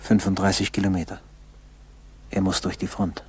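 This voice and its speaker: A man narrating a radio play solemnly, in a measured way with dramatic pauses. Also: low voice, monotone, darkish tone, breathy edge.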